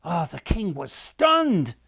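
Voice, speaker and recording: An older man reading a children's story expressively, with dramatic pauses, addressing his listeners directly. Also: high voice, huge pitch swings, gravelly, a bit bright, slightly rough, no echo, studio quality. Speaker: author and storyteller.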